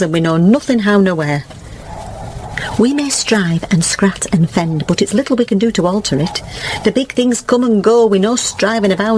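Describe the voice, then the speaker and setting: A woman's voice: medium pitch, a little mellow, slight background noise, natural voice, rough recording. A woman playing a kindly, practical village countrywoman in a radio drama, with a rural English accent and a soothing manner. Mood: reflective.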